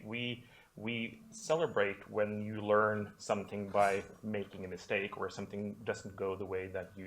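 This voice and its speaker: A man's voice: on the low side, vocal fry, a bit bright. A man answering at a seminar panel about his program, rambling a little and correcting himself as he goes. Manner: rambling, self-correcting, laughs lightly.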